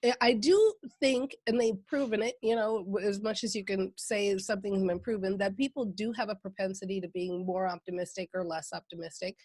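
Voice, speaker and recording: A woman's voice: medium pitch, brisk, hardly any pauses, darkish tone, no echo. A woman from Minnesota with a Minnesota accent, a guest on a podcast interview, talking with enthusiasm and laughing often. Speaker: guest, mindset coach.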